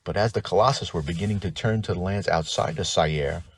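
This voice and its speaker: Low-voiced man narrating fantasy lore. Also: brisk, slightly rough. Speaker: tabletop gaming lore host. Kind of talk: scripted lore narration with casual asides.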